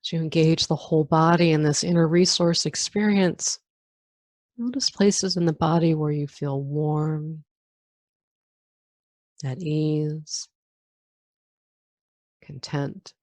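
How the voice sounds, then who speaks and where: A woman guiding a meditation as a teacher, giving calm, gentle instructions with long pauses between phrases. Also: sits low, dry recording. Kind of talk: guided meditation.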